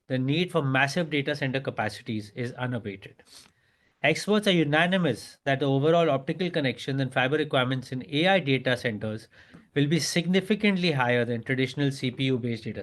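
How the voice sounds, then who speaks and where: A man with an Indian accent and a mid-pitched voice presents as a company executive. He reads prepared business material formally, in the measured register of an earnings briefing. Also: fairly bright tone. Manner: formal, scripted reading.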